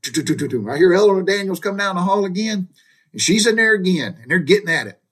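A middle-aged man with a drawl talks through old memories in a loose, rambling monologue. He chuckles often and curses mildly, with a folksy, unhurried storyteller's manner. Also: high voice, animated, fairly bright tone. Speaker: podcast host.

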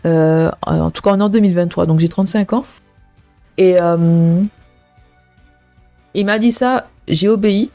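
Low, mellow woman, casual faith podcast. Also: a little slow, long pauses, soft background music. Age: 30s. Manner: casual and personal, many "euh" and "hein" fillers, preaching tone. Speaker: podcast host. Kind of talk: faith podcast talk addressed to listeners.

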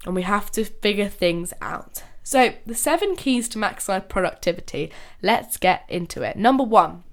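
A woman's voice: mid-range voice, expressive, normal speed. Nasal-sounding woman with a cold, chatty. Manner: chatty, laughs often, trails off and restarts. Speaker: podcast host. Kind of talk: casual solo podcast talk.

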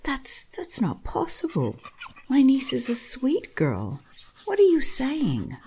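An anxious pet owner speaks in a husky, dark-toned female voice. She stammers fretfully and defensively, her pitch very lively, in audio drama style. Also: slow, heavily acted. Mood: indignant.

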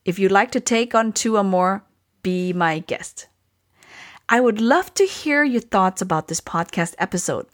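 A woman hosting a podcast, reading a scripted, upbeat wrap-up and asking listeners questions. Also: mid-range voice. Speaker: podcast host.

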